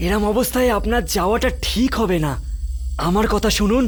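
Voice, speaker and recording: Man acting high-pitched anxious young man. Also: fairly quick, natural voice. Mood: earnest. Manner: earnest, pleading. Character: anxious, polite, dutiful young son. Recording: music behind the voice.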